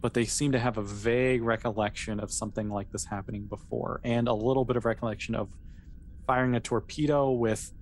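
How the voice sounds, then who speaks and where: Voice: medium pitch, steady tone, a little fast, a little mellow, slight background noise. A game master narrating a tabletop role-playing session in a conversational, improvised way, feeling out the phrasing along the way.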